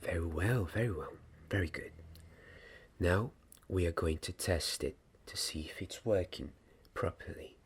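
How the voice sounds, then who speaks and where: A young man in an ASMR roleplay playing a gentle, patient wand maker mentoring an apprentice, speaking softly and breathily, at times in a whisper, with hesitant, deliberate phrasing. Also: sits low. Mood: curious, approving. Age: young adult. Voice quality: soft, breathy, partly whispered. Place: small dim indoor room. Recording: clear background noise and ambience.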